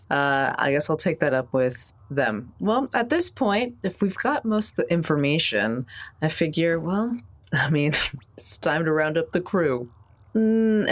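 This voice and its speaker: Low-voiced woman playing a tabletop game. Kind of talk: casual in-character game chat.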